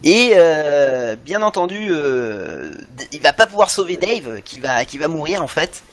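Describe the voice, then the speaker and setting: A man's voice: on the high side, sing-song, a little slow, fairly bright tone. A middle-aged man chatting casually and enthusiastically as a podcast co-host, often searching for his words. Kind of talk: casual animation fan chat.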